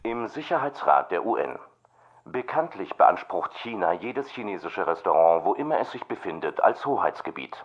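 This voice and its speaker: A man's voice: low-pitched, very animated, normal speed, lo-fi sound, exaggerated voice. A man playing a formal, matter-of-fact newsreader, reading scripted bulletins. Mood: official, neutral. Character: formal male radio newsreader in a future dystopia.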